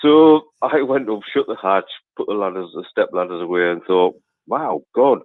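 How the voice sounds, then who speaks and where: A man with a deep voice, a caller telling a rambling story on a phone-in show and laughing as he goes. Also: lively intonation, normal speed, no room sound, narrow, tinny audio. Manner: chatty, rambling, laughs through his stories.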